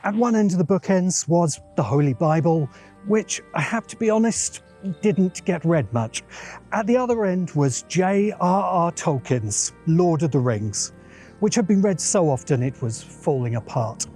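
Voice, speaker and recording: A man's voice: a little high, lively intonation, a little slow, mellow, soft background music. A man hosting a science fiction channel, giving a scripted video essay narration in a dramatic, musing way with dry asides.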